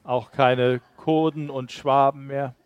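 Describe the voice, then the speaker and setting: A man's voice: mid-range voice, fairly even intonation, takes it slowly, clean tone, no echo, lo-fi sound. A man interpreting a sermon live, rendering it phrase by phrase after the preacher.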